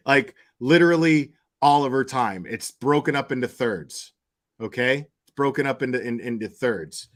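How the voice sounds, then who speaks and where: A man's voice: medium pitch, unhurried. A man giving casual online opinion commentary in a rambling, blunt and crude way, swearing freely. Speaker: YouTube commentator.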